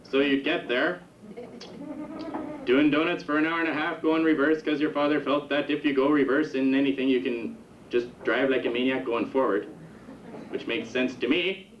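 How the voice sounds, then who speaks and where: A man's voice: mid-range voice, steady tone, distant mic, slight background noise. Young male stand-up comic in a roomy club. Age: young adult. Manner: rambling, halting delivery with many restarts. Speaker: stand-up comedian. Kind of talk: stand-up set. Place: comedy club stage. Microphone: handheld stage mic on a stand. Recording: roomy, dull 1991 camcorder tape from the audience. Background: audience laughter.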